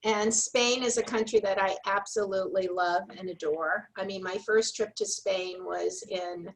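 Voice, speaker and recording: A woman hosts an online tasting on a video call and sets up her guest with effusive, superlative-filled praise. She talks casually and often says "um" and "you know." Her pitch sits in the middle for a woman. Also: fairly even intonation, normal speed, a little hiss or hum, rough recording. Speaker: host of an online wine tasting series.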